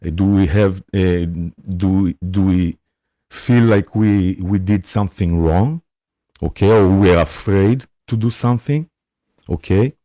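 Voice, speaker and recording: A man from Israel with an Israeli accent, hosting a live spiritual session. He speaks in a halting, gentle way and often pauses to check in with his listeners. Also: low-pitched, dry recording, studio quality. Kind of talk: live-streamed spiritual talk.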